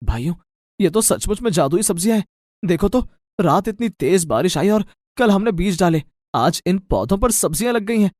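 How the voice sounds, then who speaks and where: A man playing a wary, blunt village farmer who questions things, in an animated moral story. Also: high-pitched, normal speed, dry recording, clean studio sound. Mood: amazed.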